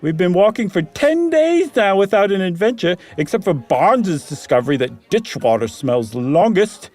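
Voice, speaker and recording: A man voicing a brash, eager young English gentleman in a theatrical, put-on British accent, reading a ghost story aloud. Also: high-pitched, very animated, moderate pace, soft background music, pitched above the performer's own voice.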